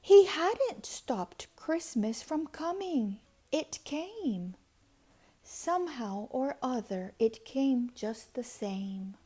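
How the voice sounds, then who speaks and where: Very slow, high-voiced woman reading a storybook. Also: expressive, mellow, husky. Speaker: storybook reader. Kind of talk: expressive children's read-aloud narration.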